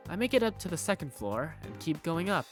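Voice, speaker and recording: A man voicing a curious, self-doubting high school student who narrates a visual novel fandub in the first person. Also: sing-song, brisk, music in the background. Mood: curious, uneasy.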